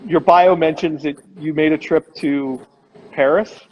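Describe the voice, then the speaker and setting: A man's voice: on the high side, fairly even intonation, unhurried, darkish tone, highs cut off, a little hiss or hum. A man, a chef hosting a casual online interview, speaking in a loose, conversational way with restarts. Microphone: phone on a live video chat.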